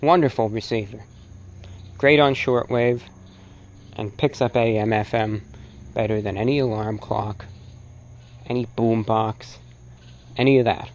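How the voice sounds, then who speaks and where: A man presenting an informal, opinionated lecture as a broadcaster, speaking emphatically and deliberately with rhetorical repetition. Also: on the low side, fairly even intonation, dull audio. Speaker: host and presenter, radio broadcaster.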